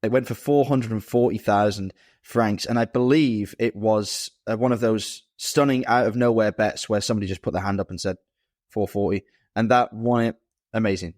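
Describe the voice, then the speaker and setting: A man's voice: lowish voice, fairly even intonation, normal speed, darkish tone, no echo, clean studio sound. A man co-hosting a casual podcast chat, explaining things fluently and with enthusiasm. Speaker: podcast co-host.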